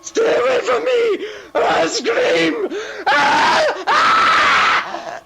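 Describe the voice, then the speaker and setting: Terrified female victim for a radio thriller: panicked, very high, screaming. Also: huge pitch swings, drawn-out words, creaky, shouting, loud music behind the voice, full character voice, rough recording. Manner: panicked, shrieking. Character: terrified woman confronted by an intruder. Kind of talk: old-time radio drama acting.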